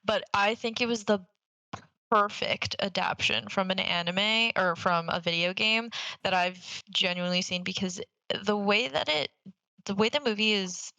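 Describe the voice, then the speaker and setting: Gravelly, bright-voiced young woman chatting. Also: medium pitch, dry recording, poor audio. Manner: enthusiastic, informal, rambling. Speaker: podcast co-host. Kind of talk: casual chat about games and films.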